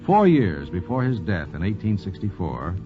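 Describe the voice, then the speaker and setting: Animated American radio narrator, male. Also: low voice, moderate pace, over music. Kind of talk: 1940s radio scripted narration. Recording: dull vintage 1940s radio recording.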